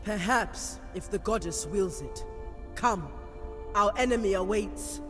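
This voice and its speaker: Proud champion priestess played by a woman: measured, commanding delivery, slow pace, game cutscene over loud music. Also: medium pitch, lightly acted. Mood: confident, wry. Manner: measured, declamatory. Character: bold, commanding warrior priestess, proud champion of her people. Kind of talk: video game cutscene acting.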